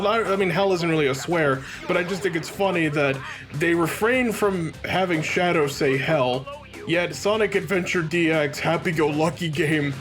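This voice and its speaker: A man doing casual, joking let's play commentary on a video game with friends. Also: on the high side.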